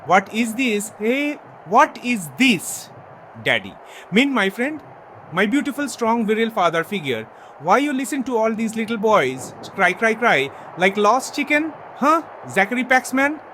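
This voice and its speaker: A man's voice: a little hiss or hum, full character voice. A man playing a boastful, crude male wrestler with a Bangladeshi English accent, speaking broken English in a taunting wrestling promo. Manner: broken English, taunting, swings from yelling to low purring. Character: boastful male wrestler, self-proclaimed king, brash and crude.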